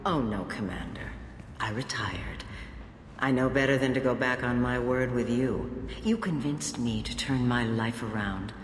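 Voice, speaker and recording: Female ex-crime boss, courteous, measured, formal, video game dialogue, long reverb, noisy background. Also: extremely low pitch. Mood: composed assurance. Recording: roomy long reverb, clear background noise.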